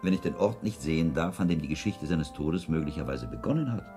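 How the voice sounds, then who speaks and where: An actor playing a calm, learned monk who investigates a death in a German radio drama, questioning formally and deliberately. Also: male voice, rumbling low, music in the background. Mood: probing, insistent.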